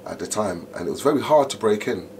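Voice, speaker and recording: A middle-aged man, an MC telling stories in an interview, animated and full of "you know". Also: on the low side, fast talker. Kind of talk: interview, casual storytelling. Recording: noticeable background noise.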